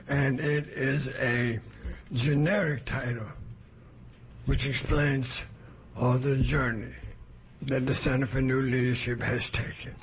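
Hoarse man giving an archival lecture. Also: mid-range voice, level tone, rough recording. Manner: measured and humble, with hesitant restarts. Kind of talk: formal lecture. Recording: archival lecture recording with faint noise.

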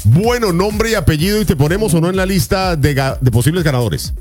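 A man's voice: sits high, expressive, brisk, darkish tone, faint music behind. A man hosting a morning radio show, with playful, teasing announcer patter. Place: radio studio.

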